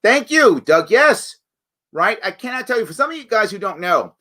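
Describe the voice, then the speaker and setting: A man hosting a live stream, talking casually and reading viewer comments, his voice raspy and a bit hoarse from weeks of campaigning. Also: high voice, moderate pace. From New York State. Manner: conversational, animated, frequent fillers and restarts. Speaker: show host.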